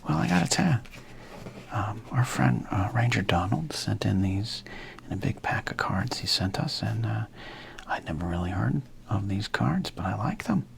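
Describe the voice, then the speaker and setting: A man's voice: lowish voice, expressive, moderate pace, vocal fry, a little hiss or hum. A man casually commenting while opening packs of trading cards, with wry jokes and half-whispered asides. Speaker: card collector opening packs.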